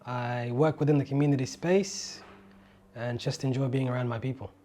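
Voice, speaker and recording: A man, a filmmaker speaking in a measured, reflective way about his community. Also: medium pitch, steady tone, mellow.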